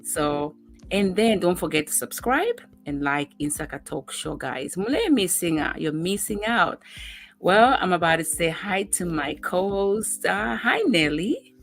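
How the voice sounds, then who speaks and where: A woman's voice: lowish voice, expressive, unhurried, faint music behind. Upbeat woman show host. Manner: upbeat, chatty, addresses viewers directly. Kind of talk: talk show hosting.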